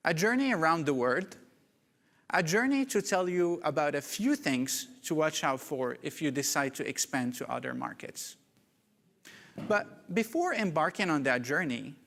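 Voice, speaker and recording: A man's voice: on the high side, vocal fry, a bit bright. Moroccan-born man giving a keynote. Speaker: conference speaker. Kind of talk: prepared keynote talk. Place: conference hall. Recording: reverberant conference hall.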